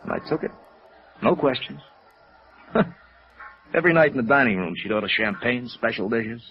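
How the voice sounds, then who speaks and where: An American actor playing a middle-aged, chagrined hotel operator in a 1950s radio drama, rueful and emphatic. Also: male voice, medium pitch, animated, moderate pace, natural voice. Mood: rueful, exasperated. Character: chagrined, rueful gray-haired hotel operator, thirty years in business. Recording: dull vintage radio recording.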